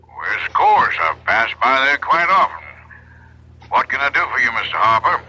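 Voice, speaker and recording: An uneasy, courteous male doctor in a radio play. His voice is very sharp and rough-edged, swinging in pitch as he hesitates and dodges. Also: very animated, moderate pace, full character voice, poor audio. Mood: courteous, evasive. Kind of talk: radio drama acting.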